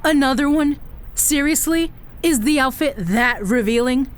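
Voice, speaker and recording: A woman's voice: high voice, unhurried, over music. A woman playing a scornful, exasperated costumed superheroine in an audio drama, with grandiose heroic speech that slips into annoyance.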